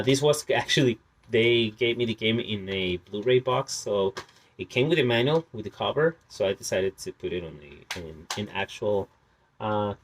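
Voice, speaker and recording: A young man whose first language is Spanish, hosting a casual vlog about his game collection and talking in a hesitant way with many fillers. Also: medium pitch. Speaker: channel host.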